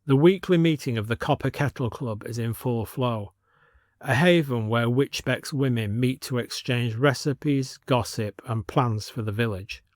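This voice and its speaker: A man narrating a scripted audio story in a measured, descriptive manner. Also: medium pitch, no room sound, studio quality.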